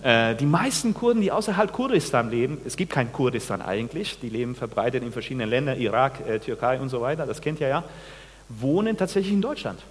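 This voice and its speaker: A man in his forties from Peru, a pastor giving a sermon, speaking haltingly with many restarts and questions to the congregation. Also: mid-range voice, expressive, in a large hall. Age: 40s. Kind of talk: sermon with personal testimony. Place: church.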